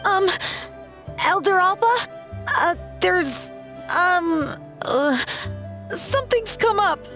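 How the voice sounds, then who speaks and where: Timid, stammering young female messenger for a game; piercingly high, slow delivery. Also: animated, bright, forceful tone, faint music behind, exaggerated voice. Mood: anxious. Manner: hesitant, stammering. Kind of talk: video game voice acting.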